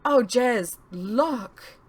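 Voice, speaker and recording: A woman's voice: a little high, animated, very slow, pitched above the performer's own voice, heavily acted. A woman voicing a giddy, giggling young woman in an audiobook, breathless, reckless and gushing.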